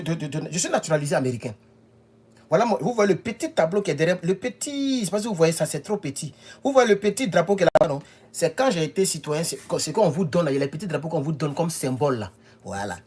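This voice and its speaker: A man's voice: high voice, darkish tone, husky. A man from the Ivory Coast, giving emphatic political commentary in a home video and often repeating himself. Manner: emphatic, repeats himself, rises to insistent peaks.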